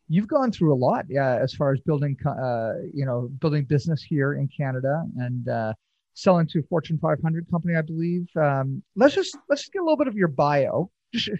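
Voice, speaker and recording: Higher-voiced male podcast host, dry sound. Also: a little mellow, no echo, muffled recording. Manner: rambling, hesitant, many fillers. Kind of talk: casual interview.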